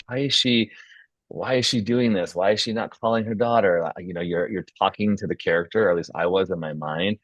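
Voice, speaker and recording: A man's voice: sits low, no room sound, low-quality recording. A man speaking as host and narrator of an informal script table read. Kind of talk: stage-direction reading and casual feedback discussion.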